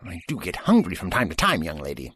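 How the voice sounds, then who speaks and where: An actor playing an eccentric, absent-minded old time traveler with fussy, courtly phrasing in an audio drama. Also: male voice, sits low, wildly swooping pitch, fast talker, fairly bright tone, husky, no room sound, exaggerated voice. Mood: fussy, breezy.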